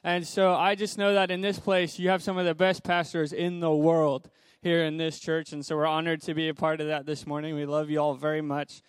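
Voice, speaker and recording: A young man from Zimbabwe speaks as a missionary guest in a church, upbeat and brisk. His voice sits fairly high with a flat, steady contour. The sound is smooth and close, with little room echo. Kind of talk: personal testimony in a church service.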